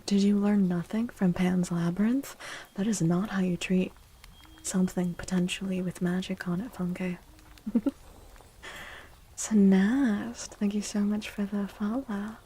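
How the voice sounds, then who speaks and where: A woman speaking softly and gently in a breathy, soothing ASMR style, often slipping into a whisper as she chats with stream viewers. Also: medium pitch, fairly even intonation, a little slow, slight background noise. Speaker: livestreamer hosting an ASMR stream. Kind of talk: soft-spoken ASMR, chatting with viewers. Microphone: close microphone.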